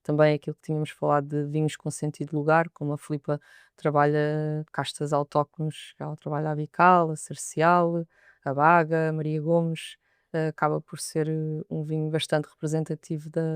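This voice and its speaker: A young woman with a European Portuguese accent talks as a sommelier in a podcast interview. Her voice is smooth and clear, her pitch stays fairly level, and she often doubles a word before moving on. The sound is dry and close. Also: dry recording. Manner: thoughtful, repeats words as she gathers her thoughts.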